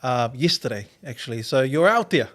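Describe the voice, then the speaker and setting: A man with a mid-range voice hosts a podcast and chats in a relaxed, conversational way. He keeps things upbeat and affirming as he asks his questions. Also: medium pitch, normal speed. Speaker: podcast host. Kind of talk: casual interview chat.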